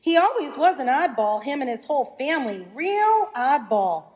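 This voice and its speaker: A woman's voice: high-pitched, big pitch swings, normal speed. A woman reading the part of a suspicious, accusatory male neighbor in a dramatic play. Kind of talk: dramatic read-aloud of a teleplay. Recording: reverberant room.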